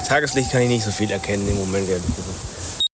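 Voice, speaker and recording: An actor playing a sceptical, teasing boyfriend, speaking in casual, mocking colloquial style in a naturalistic radio drama. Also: male voice, lowish voice, fast, noisy background, lightly acted. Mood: grumbling.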